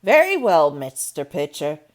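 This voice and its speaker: A woman reading as a brisk, firm housekeeper. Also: sits low, wildly swooping pitch, full character voice. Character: brisk plain-spoken middle-aged housekeeper woman.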